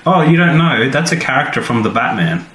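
A man's voice: mid-range voice, sing-song, brisk, a bit bright. A man co-hosting a podcast in casual, jokey conversation. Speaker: podcast co-host. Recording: echoey room, clear background noise.

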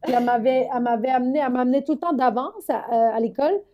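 A woman from Montreal, co-hosting a podcast, telling stories in an animated way and swearing casually. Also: high voice, very fast. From Montreal, Quebec. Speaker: podcast co-host. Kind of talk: casual chat.

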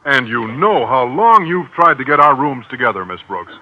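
A man's voice: medium pitch, sing-song, moderate pace. An American actor playing a bashful, earnest man who teaches biology, speaking hesitantly in a 1950s radio sitcom. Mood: earnest, aggrieved. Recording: dull, hissy old radio broadcast.

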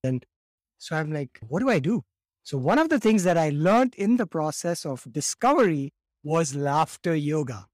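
A man in his fifties, raised in India, telling his story as a podcast guest in a lively way, often acting out quotes and repeating words as he starts. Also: fairly high pitch, moderate pace, no room sound, clean studio sound. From born in Morocco, raised in India. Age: 50s. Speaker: guest, laughter yoga teacher.

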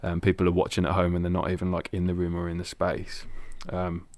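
Young male worship leader, very low voice, dry close sound, thoughtful casual talk. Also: monotone, darkish tone, no room sound. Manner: thoughtful, measured, with frequent ums. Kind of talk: casual chat.

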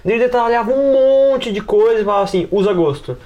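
A man's voice: very high-pitched, brisk, a little mellow. A man with a Brazilian accent chatting casually on a games podcast, rambling informally with lots of filler words. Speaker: podcast panelist.

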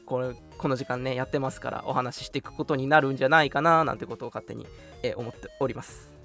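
A young man hosting a casual live radio chat, talking politely and chattily with soft hedges between announcements. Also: mid-range voice, steady tone, fast talker, creaky, faint music behind. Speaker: radio show host.

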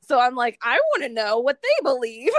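Giggly young woman, chatty and high-voiced. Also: sing-song, moderate pace, no room sound, studio quality. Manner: chatty, laughs often, self-deprecating. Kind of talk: casual chat.

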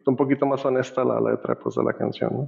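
A man from Costa Rica hosting a relaxed interview, chatty and quick to laugh, slipping in English phrases. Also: medium pitch, creaky, dull audio. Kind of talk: casual conversational interview.

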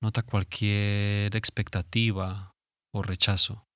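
Low-pitched man guiding meditation. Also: no room sound, clean studio sound. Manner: calm, soothing, instructing with long pauses. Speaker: meditation guide, host. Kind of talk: guided relaxation meditation. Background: music.

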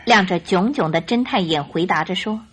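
A woman narrating a radio drama from a script in an expressive storytelling manner. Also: on the low side, normal speed, slightly rough. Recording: radio drama with music behind.

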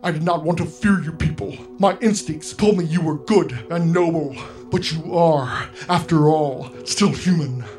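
A man's voice: fairly high pitch, measured pace, a little mellow, lightly acted. A man playing an earnest, guarded alien telepath superhero in a radio play, with formal, dramatic delivery. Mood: solemn. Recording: music playing under the voice.

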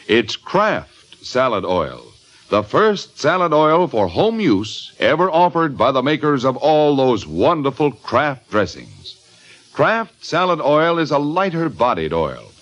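A man's voice: medium pitch, sing-song, measured pace, a bit bright. A man working as a radio announcer, reading commercial copy and story narration in vintage style. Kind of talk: old-time radio commercial and scripted narration. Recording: dull band-limited old radio recording.

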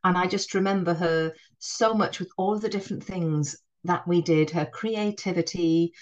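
Woman, middle-aged, Northeast English accent, lowish pitch, reflective, restarts sentences. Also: fairly even intonation, normal speed, highs cut off. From Northeast England. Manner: warm, reflective, frequent restarts. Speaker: head teacher, guest. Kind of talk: conversational podcast interview.

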